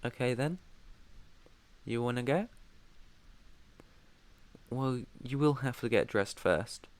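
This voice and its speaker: A British man playing a protective, haunted yet tender vampire master in an intimate ASMR roleplay, speaking softly, earnestly and deliberately. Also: medium pitch, lightly acted. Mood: fond, teasing.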